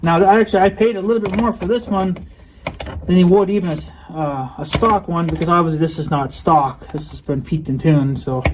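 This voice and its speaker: A man's voice: a little high, steady tone, a little fast, noisy background. A man casually rambling through a product unboxing vlog, often doubling back and correcting himself in a hesitant, unscripted way. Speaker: vlogger showing new purchases.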